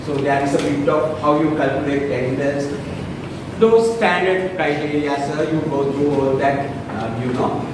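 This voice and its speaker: A man's voice: fairly high pitch, a little slow. A middle-aged Sri Lankan man gives a casual technical talk to engineers, tagging his points with "right?". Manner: informal, frequent "right?" tag questions. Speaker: guest lecturer, principal engineer. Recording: echoey hall, far from the mic, noisy.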